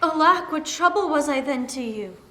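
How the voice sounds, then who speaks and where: Woman acting high, bright Shakespearean young daughter. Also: animated, moderate pace. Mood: distressed, pitying. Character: innocent, attentive young daughter of an exiled duke. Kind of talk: Shakespearean dramatic reading. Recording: echoey room.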